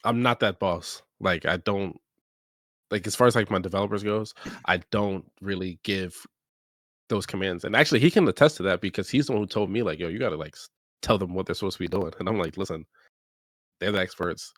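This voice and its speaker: A man's voice: deep voice, fairly quick, fairly bright tone, no echo. A man who owns a game studio, speaking casually and candidly, openly admitting his mistakes. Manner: candid, self-deprecating. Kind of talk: casual chat.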